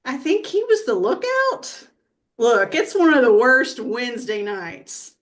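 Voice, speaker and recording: A woman playing a brash, earthy abductee in a comedy sketch read, telling it in a blunt, folksy, deadpan way. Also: fairly high pitch, unhurried. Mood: insistent.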